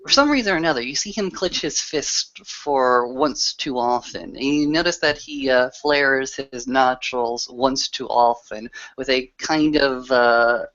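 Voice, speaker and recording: Man, game master, halting casual narration, muffled lo-fi voice call, middling pitch. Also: fairly bright tone. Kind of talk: tabletop roleplay narration, casual.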